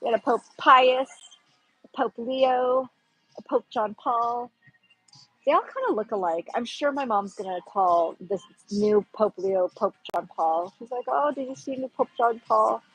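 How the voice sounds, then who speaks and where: A young woman with a Pacific Northwest American accent chats casually to her livestream viewers. Her voice is airy and a little breathy, and she wanders between thoughts while she hunts for the right words. Soft music plays faintly in the background. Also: fairly high pitch, a little slow, low-quality recording. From Pacific Northwest, United States. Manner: rambling, chatty, searching for words. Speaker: livestream host.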